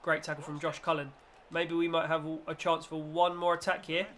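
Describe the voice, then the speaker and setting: A man's voice: fairly high pitch, little pitch movement, moderate pace, a bit bright. A man hosting a gaming video with casual, chatty football commentary in informal British slang, slightly nasal from a cold.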